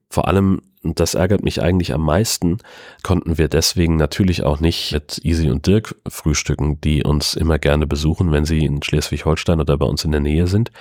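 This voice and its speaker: Very deep-voiced man, chatty podcaster. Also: a little mellow, no room sound, clean studio sound. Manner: chatty, rambling storytelling with wry asides. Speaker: podcast host. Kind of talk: casual solo podcast monologue. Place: at home.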